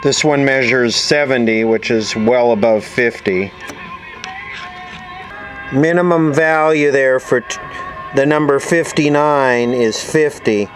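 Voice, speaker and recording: A man's voice: medium pitch, slow pace, faint music behind, rough recording. A man narrating a radio repair at his workbench in a casual way, explaining technical details as he thinks aloud.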